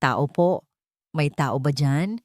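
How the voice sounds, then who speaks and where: An earnest, kind-hearted poor scavenger caught in a storm, as a storytelling woman puts the part on. The voice sits a little higher than the narration around it, warm and plainly spoken, in a dry, close recording. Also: slow, no echo. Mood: alarmed. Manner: earnest, pleading, protective.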